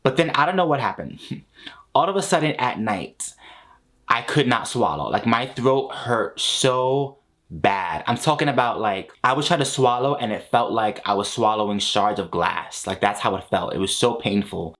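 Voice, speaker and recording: A man in his thirties, a vlogger, telling a personal story to camera in a lively, expressive way from a small home studio. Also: mid-range voice, moderate pace. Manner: animated, expressive, laughs between lines.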